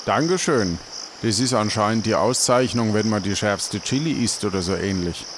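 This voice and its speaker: A man's voice: lowish voice, expressive, normal speed, noisy background. A man playing and narrating in a casual improvised adventure audio play, thinking aloud and repeating himself.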